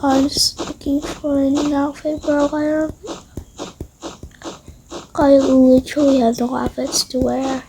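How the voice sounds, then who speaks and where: A woman's voice: high-pitched, little pitch movement, slow, long pauses, warm tone, slight background noise, poor audio. A female voice improvising a roleplay with toy game characters, slangy and drawling, with sighs. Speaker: roleplay video creator voicing toy characters.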